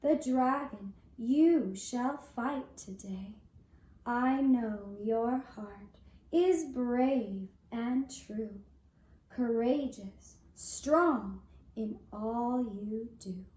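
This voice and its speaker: A woman voicing a solemn, encouraging archangel in measured rhyming verse for a puppet show. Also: a little high, dark tone. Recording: roomy, echoey sound.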